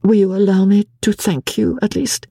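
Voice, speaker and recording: A reader voicing an anxious young noblewoman who pleads with her husband in quick, nervous speech. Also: medium pitch, fairly even intonation, vocal fry, mellow, no room sound, clean studio sound, voice pushed up. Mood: feverish, pleading. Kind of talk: audiobook character voice.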